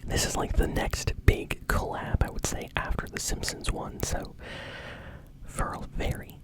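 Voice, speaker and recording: A host whispering informal, enthusiastic video game news in an ASMR style. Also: measured pace. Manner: whispering throughout. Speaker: host of a gaming news show.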